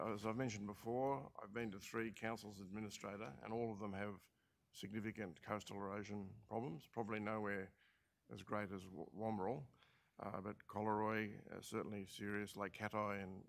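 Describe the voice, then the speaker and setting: Gravelly Australian man, halting and full of fillers. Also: fairly low pitch. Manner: halting, many fillers and restarts. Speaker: council administrator. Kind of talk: formal meeting remarks. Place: large council chamber.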